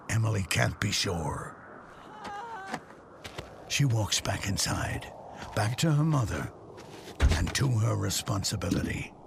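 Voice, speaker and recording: A man's voice: lowish voice, creaky, background noise. A man giving dramatic scripted narration for an audio drama.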